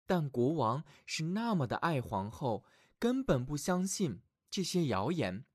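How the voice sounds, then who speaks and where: A man narrating fairy tales for children as a storyteller, reading expressively and dramatically from a script with clear phrasing. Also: a little high, sing-song, unhurried, no room sound, clean studio sound. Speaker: children's storyteller, show host.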